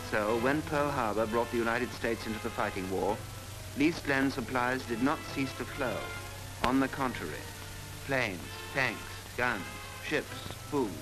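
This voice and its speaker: A man narrating a 1940s wartime newsreel in a solemn, rhetorical, deliberate manner, on an archival film soundtrack. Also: mid-range voice, rough recording.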